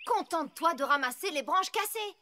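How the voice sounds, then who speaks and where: A woman's voice: very high-pitched, lively intonation, moderate pace, slight background noise. A woman voicing a blunt, hardworking farm pony mare in a cartoon dub, practical and teasing. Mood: exasperated. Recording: loud cartoon music and rain behind.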